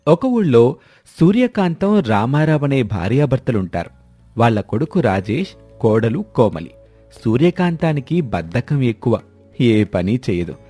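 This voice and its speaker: A man's voice: mid-range voice, big pitch swings, measured pace, mellow, faint music behind. A man narrating a scripted moral tale in a storybook style.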